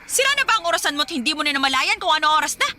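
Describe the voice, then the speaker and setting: A woman's voice: high-pitched, sing-song, racing, heavy background noise. An actress playing a domineering, nagging mother with a Filipino accent who scolds her grown daughter in a radio drama. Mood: angry interrogating. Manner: sharp, scolding, interrogating.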